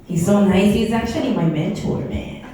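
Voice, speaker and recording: A woman's voice: mid-range voice, normal speed, noisy background. A woman from Texas with a South Texas Chicana accent, doing a brash, giggly stand-up set full of swearing. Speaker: stand-up comedian. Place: comedy club stage. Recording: echoey club from far off, loud crowd.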